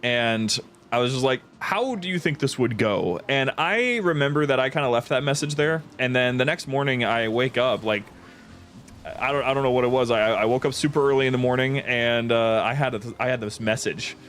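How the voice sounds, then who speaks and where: A man hosting a gaming stream, chatting casually and telling things in an animated, informal way. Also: mid-range voice, moderate pace, slight background noise. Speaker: stream host.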